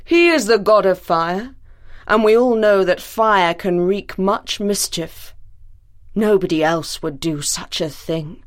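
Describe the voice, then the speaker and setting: A woman's voice: medium pitch, lightly acted. A woman reading a wise old chief god. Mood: grave. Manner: measured, pronouncing judgment.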